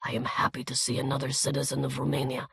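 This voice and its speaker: A man's voice: medium pitch, completely flat delivery, quick pace, gravelly, a bit bright, husky, no echo, full character voice. Man plays a talkative, nervous little man with a Hungarian accent; urgent whispers, dry booth. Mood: anxious whisper.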